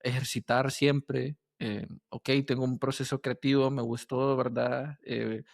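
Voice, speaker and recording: A man hosting a podcast in casual conversation, speaking haltingly as he searches for his words. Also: mid-range voice, level tone, vocal fry, no room sound, studio quality. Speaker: podcast host.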